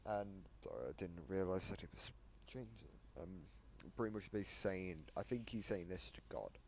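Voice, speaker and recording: Low, gravelly man explaining casually. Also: animated, normal speed, a little mellow, a little hiss or hum. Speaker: host explaining voice lines. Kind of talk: casual reaction commentary.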